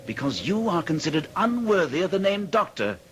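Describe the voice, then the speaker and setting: An actor playing a stern, accusing manor gentleman in a radio drama, speaking formally and with commanding deliberation. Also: high voice, sing-song, a little fast. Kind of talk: old-time radio drama acting. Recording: noisy old radio drama recording.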